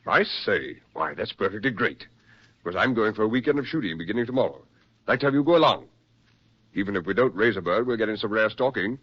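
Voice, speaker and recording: Genteel army psychiatrist, very animated low voice, insistent, vintage radio play, muffled. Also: male voice, fast, breathy edge. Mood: hearty, coaxing. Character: brisk, persuasive male military psychiatrist. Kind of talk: 1940s radio acting. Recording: muffled 1940s radio recording.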